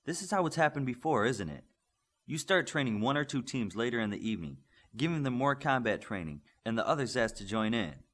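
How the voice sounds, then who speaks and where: A man's voice: medium pitch, expressive, fairly quick, a bit bright. Man voicing an inquisitive young superhero team leader. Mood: curious. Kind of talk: audiobook character voice.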